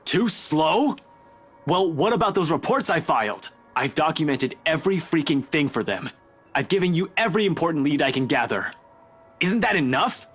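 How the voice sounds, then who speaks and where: A young male detective in a visual novel game. His voice is a little high and rough-edged, with very lively swings in pitch. He is defiant, sarcastic and quick to bristle. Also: soft background music. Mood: angry, defensive. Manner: indignant, pleading.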